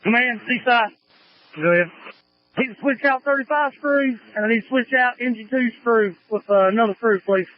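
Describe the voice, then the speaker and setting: A man's voice: very high voice, moderate pace. A man working as a fireground officer, speaking in emergency radio traffic through a handheld two-way radio. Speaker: firefighter, fireground division officer. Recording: muffled lo-fi two-way fire radio.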